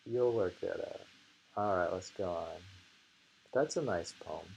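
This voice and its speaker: A man reading poems aloud and chatting about them casually, in a musing, deliberate manner. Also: deep voice, creaky, darkish tone, slight background noise, low-quality recording. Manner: slow, musing, often repeats lines deliberately. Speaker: host reading a poetry book aloud.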